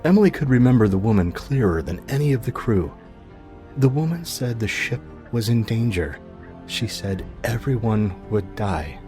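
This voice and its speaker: A man narrating a scripted fiction podcast in a measured, grave, dramatic storytelling manner. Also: on the low side.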